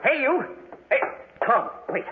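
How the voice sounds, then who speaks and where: A man's voice: very high-pitched, big pitch swings, takes it slowly, exaggerated voice. A man playing a haunted, nervy, grieving heir in dramatic 1940s radio acting. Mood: alarmed. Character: anguished, haunted heir, a jittery brother in mourning. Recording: tinny, hissy 1940s radio recording.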